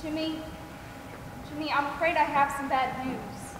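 A woman's voice: high-pitched, steady tone, a little slow. A woman acting in a stage play as a compassionate, determined mother. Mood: tender. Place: on a theater stage, distant camera. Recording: echoey theater hall, noisy room.

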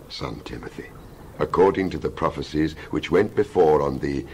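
A man giving a dramatized scripture reading as a Bible narrator, solemn and emphatic, with measured oratorical phrasing. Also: low-pitched, slight background noise, low-quality recording. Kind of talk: dramatized scripture reading, King James English.

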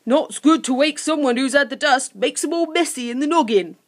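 A woman's voice: sits high, brisk, pitched above the performer's own voice, natural voice. A woman voicing a dim, round-bellied male monster in a children's story, chattering in folksy broken grammar. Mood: helpful, chatty.

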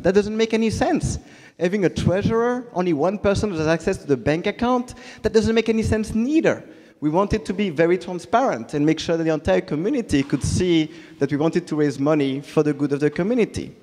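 A man's voice: high voice, lively intonation, a little fast, darkish tone. Belgian man with a French accent. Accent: French-speaking Belgian. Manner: conversational, many fillers and restarts. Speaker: conference speaker. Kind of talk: conference talk. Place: large hall with audience. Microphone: handheld mic. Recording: reverberant hall.

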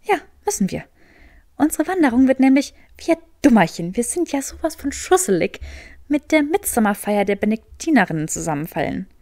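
A woman's voice: a little high, big pitch swings, fairly quick, darkish tone, dry recording, studio quality. A woman voicing a clever, earnest and determined young witch, explaining eagerly in an audiobook.

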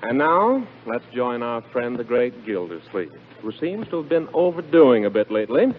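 A man's voice: medium pitch, big pitch swings, normal speed, music in the background. A man announcing on 1940s radio with a polished, emphatic sales delivery. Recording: narrow-band noisy 1940s radio recording.

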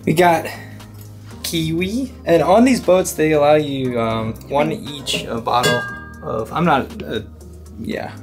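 A man's voice: on the high side, takes it slowly. A young man chatting upbeat on a travel vlog aboard a cruise ship, filmed on a handheld camera. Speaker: vlogger. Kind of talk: casual travel vlog chat. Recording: background music over the voice.

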